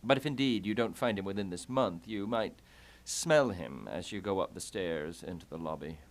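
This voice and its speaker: A man's voice: low-pitched, expressive, moderate pace. A man acting a mocking, impulsive, grief-maddened young prince in a theatrical Shakespearean puppet show. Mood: sardonic. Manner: dramatic, declaiming, sardonic.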